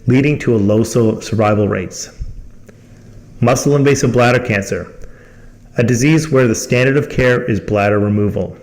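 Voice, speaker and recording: A man reading a scripted company presentation in a formal manner as the host. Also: fairly low pitch. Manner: formal, reading from prepared text.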